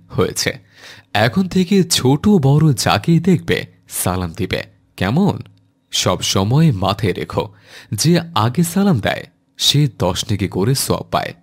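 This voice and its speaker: A man voicing a kindly Islamic schoolteacher in a cartoon, gently instructing a child in a didactic way. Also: on the low side, full character voice. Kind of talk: animated cartoon dialogue.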